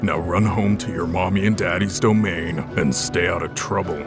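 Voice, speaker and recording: A man's voice: deep voice, fairly even intonation, moderate pace, creaky. A man playing a massive, stern Cyclops bartender in a fantasy audio drama, dismissive and threatening. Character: gruff, massive one-eyed Cyclops bartender, stern and principled. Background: faint music.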